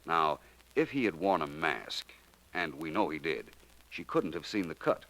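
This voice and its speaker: An actor playing a suave, calmly superior gentleman detective in a 1940s radio drama, smooth and unhurried. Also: very deep voice, exaggerated voice, rough recording. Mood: explaining. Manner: smooth, unhurried, lightly condescending.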